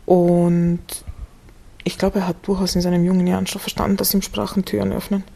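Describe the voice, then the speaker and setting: A woman's voice: fairly low pitch, flat delivery, brisk, vocal fry, warm tone. A woman, a literary scholar, talking thoughtfully in an interview at her home. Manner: thoughtful, reflective. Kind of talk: recorded interview.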